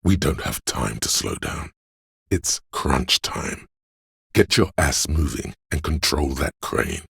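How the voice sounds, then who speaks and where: A man voicing a slick, greedy, cocky hustler in a video game, with smug, goading salesman patter in a gravelly, husky voice. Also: extremely low pitch, sing-song, slow, sharp tone, no room sound, studio quality, full character voice. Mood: conspiratorial, urgent. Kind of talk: video game character voice acting.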